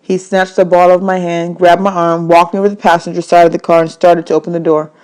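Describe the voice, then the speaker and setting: Low-pitched woman reading a story aloud. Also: little pitch movement, fast, darkish tone. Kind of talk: expressive audiobook narration of a children's novel.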